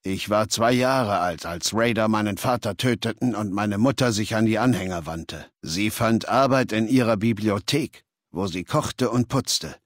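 A man voicing a cold, imperious warlord for a video game, speaking in a measured, self-assured, lecturing way. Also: on the low side, no echo, studio quality, close to an everyday voice. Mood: reflective, self-assured. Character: imperious, cold male warlord ruling a slave-taking legion. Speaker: game character voice. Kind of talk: German video game dialogue.

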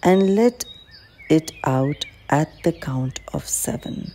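A woman leading a guided meditation as an instructor, speaking in a calm, gentle, measured manner. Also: sits low. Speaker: clinical psychologist guiding a meditation. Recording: faint background noise with birdsong.

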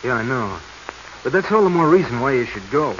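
Scheming man, mid-pitched, evasive, bossy treasure hunter in a noisy vintage radio play. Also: sing-song, fairly quick, fairly bright tone. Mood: urging. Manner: evasive, stammering when cornered, bossy. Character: scheming, nervous male treasure hunter hiding guilt. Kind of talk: 1940s radio acting. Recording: muffled, hissy 1940s radio recording.

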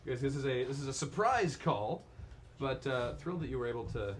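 A man's voice: medium pitch, expressive, a little fast, a little hiss or hum. A man hosting a live charity stream, chatting casually with a guest, stammering through restarts and laughing easily. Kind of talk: casual chat. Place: crowded studio room, indoors.

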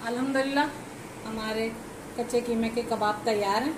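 A woman with a fairly high voice explains a home recipe step by step in a calm, instructive way, repeating key points as she works. Her voice is recorded in an echoey kitchen. Clear background noise runs underneath. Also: moderate pace. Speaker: cooking channel host. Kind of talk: home cooking demonstration.

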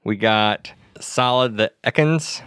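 Low-voiced easygoing male game master. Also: fairly bright tone, clear, resonant tone, no echo, clean studio sound. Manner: easygoing, measured, slows down when narrating. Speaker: dungeon master. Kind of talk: casual chat and game narration.

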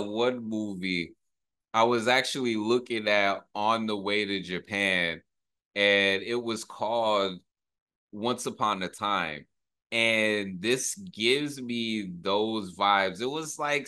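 A man's voice: fairly low pitch, pushed and bright, clear, resonant tone. A man in his thirties giving casual, slangy reaction commentary, enthusiastic and full of awe, as a video host. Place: small home room.

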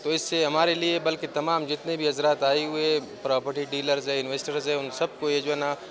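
Quetta man over clear crowd noise. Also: on the high side, level tone, brisk. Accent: Pakistani, Quetta. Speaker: property company owner. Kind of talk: spontaneous on-camera news interview. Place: indoor expo hall. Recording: busy indoor hall crowd noise. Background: crowd chatter.

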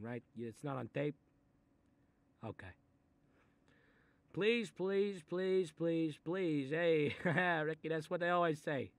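A man playing a gruff, sarcastic Italian-American mob boss in a comic monologue, exasperated and muttering threats as he reads a picture book aloud. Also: a little high. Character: short-tempered, sarcastic Italian mob boss babysitting a kid. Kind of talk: comic improvised character monologue.

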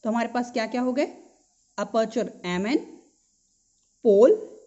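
An Indian-accented woman explains a school lesson in a fairly high, very mellow voice. She is didactic, restating key terms and posing questions that she answers herself. The recording is somewhat dull and lo-fi. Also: measured pace. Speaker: physics teacher. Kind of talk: explanatory school lesson mixing Hindi and English. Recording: dull lo-fi sound.

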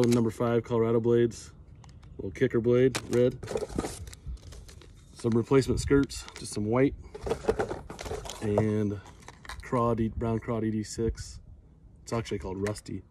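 Casual guy in his thirties, loose and rambling, phone-recorded outside with a noisy background. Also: medium pitch. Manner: relaxed, rambling, many fillers. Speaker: fishing channel host. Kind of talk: casual unboxing vlog. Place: outdoors in a driveway by a boat. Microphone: phone camera at arm's length. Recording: outdoor recording with noticeable noise.

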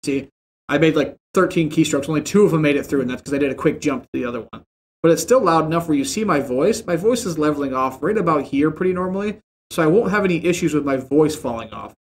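A young man in a home streaming room who explains audio settings in a relaxed, rambling way. He uses lots of fillers, and his desk mic sits about a foot and a half from him. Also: on the high side, quick pace, a little hiss or hum. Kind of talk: casual screen-share tutorial. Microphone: desk mic about a foot and a half away, headset on.

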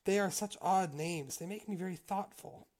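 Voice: medium pitch, normal speed, a little mellow, pitched above the performer's own voice, lightly acted. A reader voicing a small, trusting female mouse in a storybook tale, earnest, questioning and given to exclaiming. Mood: puzzled. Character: small, good-natured, trusting female mouse, easily troubled.

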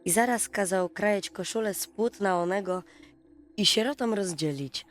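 A woman narrating a scripted fairy tale for children at bedtime, in an expressive storytelling manner. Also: medium pitch, moderate pace. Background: music.

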